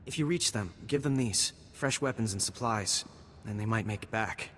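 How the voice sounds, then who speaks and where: A man's voice: fairly low pitch, normal speed, fairly bright tone, lightly acted. An actor playing an earnest, anxious male army lieutenant in a video game, urgent and sometimes faltering. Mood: worried, pleading. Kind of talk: scripted video game cutscene acting. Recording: long reverberant hall, faint background noise.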